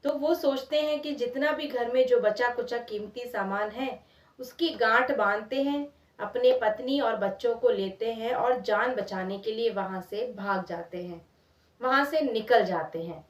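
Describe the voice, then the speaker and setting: A woman's voice: fairly high pitch, measured pace. A woman telling a moral story expressively in everyday, conversational words, repeating phrases for emphasis. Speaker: storyteller, channel host. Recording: roomy echo, distant from mic.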